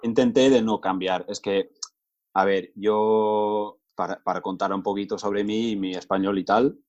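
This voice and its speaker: A young Englishman with a Spain-Spanish accent, a native English speaker, chats as a podcast guest, rambling and self-correcting as he goes. His voice is on the low side, and the sound comes through slightly dull and lo-fi. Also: steady tone, unhurried, a little mellow. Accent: Spain Spanish, learned as English speaker. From England. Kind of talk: casual chat.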